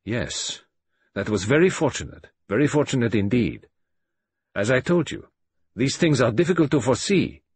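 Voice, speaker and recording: A man's voice: medium pitch, lively intonation, moderate pace, breathy edge. A man voicing an elderly, frail detective, speaking in a precise, formal, sly manner. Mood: earnest.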